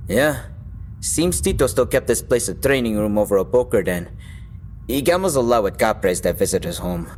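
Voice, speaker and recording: A man's voice: mid-range voice, very animated, moderate pace, a little mellow, a little hiss or hum, natural voice. A man acting in an audio drama as a young, casual and cocky fighter who narrates his own story in a wry way. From Philippines. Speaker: voice actor narrating in character. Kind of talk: audio drama dialogue and first-person narration. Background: background music.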